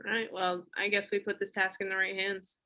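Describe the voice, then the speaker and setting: Bright, sardonic woman running a meeting, acted. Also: medium pitch, flat delivery, a little fast, natural voice. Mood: businesslike, wry. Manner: dry sarcasm, businesslike. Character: brisk, sardonic female office meeting leader. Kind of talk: comedy web series acting.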